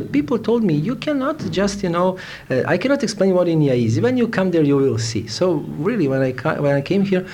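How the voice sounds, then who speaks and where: A Serbian-accented man speaks casually as an interviewee. His voice is a little higher than average, and he often hesitates to find the English word. The room recording carries faint noise. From Novi Sad, Serbia. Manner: halting, searching for words in English. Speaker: interviewee, Hare Krishna devotee. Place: a room in India.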